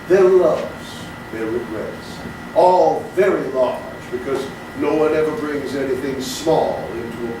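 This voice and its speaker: An actor playing a gentle, courtly, dreamy barfly, telling tales unhurriedly in a theatrical comedy. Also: male voice, medium pitch, natural voice. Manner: genteel, unhurried storytelling. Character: gentle, courtly, dreamy male barfly with an imaginary rabbit friend. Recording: distant, very echoey, noisy stage recording.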